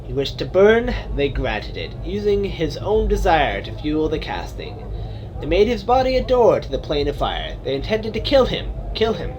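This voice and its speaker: A man voicing a mad, filthy male storyteller who speaks in hushed, ominous tones and repeats his own phrases. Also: a little high, huge pitch swings, moderate pace, background noise.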